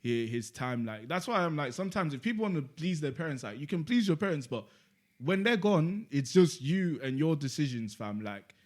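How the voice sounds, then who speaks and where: A man's voice: on the high side, big pitch swings, moderate pace. A young man, a podcast co-host talking in casual chat, reflective and rambling, with lots of "like" and "you get me". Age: young adult.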